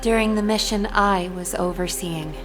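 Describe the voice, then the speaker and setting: A guarded female officer, acted by a woman, speaks curtly at a medium pitch with serious restraint. Music plays clearly behind this game drama. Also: fairly even intonation, normal speed, natural voice. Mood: guilt-ridden. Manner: curt, restrained. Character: stern, guarded female military officer burdened by guilt. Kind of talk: dramatic video game acting.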